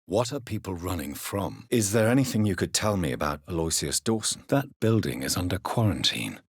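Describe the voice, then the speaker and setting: Brooding vampire doctor, British, very low pitch, expressive swings, formal, video game dialogue. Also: male voice, husky, no room sound, studio quality. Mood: measured. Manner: formal, measured, earnest. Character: grave, courteous gentleman doctor turned vampire, brooding and intense.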